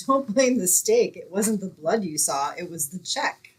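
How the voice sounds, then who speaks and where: A woman acting a sensible, sarcastic, exasperated roommate in an old-time radio comedy, with a husky voice and dry, impatient delivery. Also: medium pitch, expressive, a little slow, slight background noise, lightly acted.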